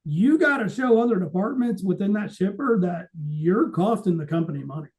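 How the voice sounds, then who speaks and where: A man's voice: high-pitched, animated, a little fast, a little mellow. A man in conversational podcast talk about his trade, explaining things emphatically and readily voicing other people's side of a conversation. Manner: explanatory, emphatic, acts out quoted remarks. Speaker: podcast co-host.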